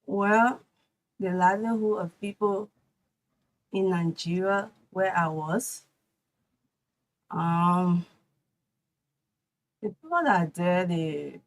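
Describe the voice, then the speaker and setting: A woman with a Liberian English accent answering interview questions as a culinary instructor, in a plain, steady way, often affirming with "yes". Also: fairly low pitch, takes it slowly, pauses often, rough recording.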